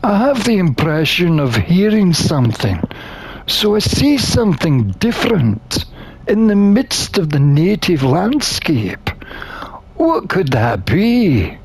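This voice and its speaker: A gamer reading a visual novel aloud as expressive scripted narration, with theatrical, deliberate phrasing. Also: mid-range voice, breathy edge, poor audio.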